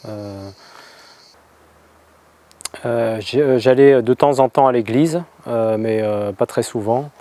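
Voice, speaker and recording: A man from France telling his personal testimony, halting and full of hesitations and restarts. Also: mid-range voice, warm tone. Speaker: convert telling his story.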